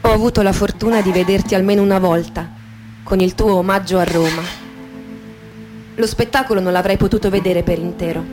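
A woman gives a dramatic literary reading in the manner of a radio theatre monologue, intimate and poetic, with deliberate pauses. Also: medium pitch, fairly even intonation. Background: music with guitar and sound design.

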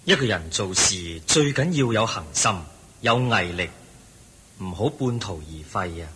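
A solemn spiritual teacher in a Hong Kong Cantonese radio play. His lowish voice is very rough and husky yet forceful. He delivers moral teaching in a measured, didactic way. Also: lively intonation, heavily acted. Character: solemn Buddha preaching wisdom and perseverance.

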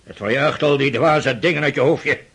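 A man's voice: mid-range voice, normal speed, fairly bright tone, breathy edge. An actor playing a stern, sarcastic older aristocratic father in a Dutch radio drama, brusque, impatient and mocking. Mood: scornful, lecturing. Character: stern, sarcastic aristocratic father drilling his daughter in mathematics.

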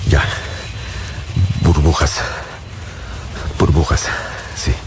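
A male actor playing a horrified eyewitness in a radio drama, halting and stammering as he recounts what he saw. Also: very deep voice, level tone, exaggerated voice. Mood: shaken, halting. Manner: halting, stammering, repeats and corrects himself. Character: shaken male eyewitness recounting a monster invasion, horrified, faltering. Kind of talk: dramatized audiobook radio-play narration. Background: loud dramatic music.

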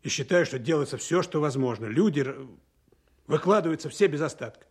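A man acting a dutiful, overworked Soviet counterintelligence officer in a Russian radio play, earnest and frank, with a slightly husky voice. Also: fairly high pitch, expressive, a little fast, a little mellow. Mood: defensive, heated. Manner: earnest, matter-of-fact reporting. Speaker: actor in an audio drama.

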